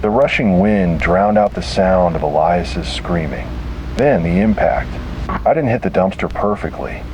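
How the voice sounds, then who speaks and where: Low-pitched man narrating horror. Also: unhurried, noisy background. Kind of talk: scripted first-person horror narration.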